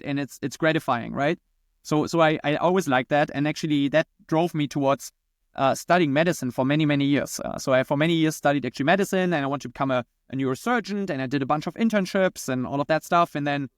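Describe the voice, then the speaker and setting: A man's voice: fairly high pitch, dry recording, studio quality. A man from Germany with a German accent, answering conversationally as a founder interviewed on a podcast. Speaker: podcast guest, company founder and CEO.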